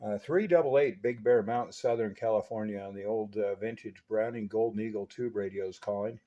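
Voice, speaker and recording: Mellow, folksy older American man, dull audio. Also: fairly low pitch, fairly quick. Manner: folksy, chatty, jargon-filled. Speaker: CB radio operator and radio restorer. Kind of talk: casual radio check-in chat using CB jargon.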